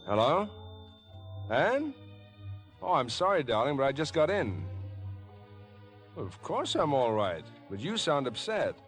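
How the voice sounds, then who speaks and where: A man's voice: on the high side, very animated, measured pace, pauses often, a bit bright, soft background music. An actor playing an alarmed, indignant man caught in a murder plot in a 1950s radio drama. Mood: concerned. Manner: tense, indignant, clipped demands. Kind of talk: 1950s radio suspense acting.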